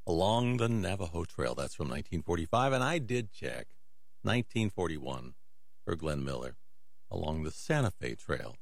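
A man's voice: low-pitched, very animated, moderate pace, creaky, no room sound. A man hosting a music radio show, talking casually with chatty, musing asides.

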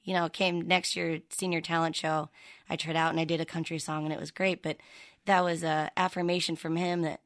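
A woman country singer from the American Midwest, with a lower speaking voice, telling stories chattily with many fillers. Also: little pitch movement, fairly quick, fairly bright tone, no room sound, clean studio sound. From Midwestern United States. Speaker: country singer, interview guest. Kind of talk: conversational interview.

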